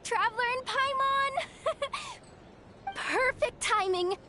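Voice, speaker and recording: A woman's voice: very high voice, slow pace, bright, forceful tone, exaggerated voice. A woman playing a perky, eager young girl in a video game, chatty and exuberant. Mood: excited. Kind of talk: video game character dialogue. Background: music.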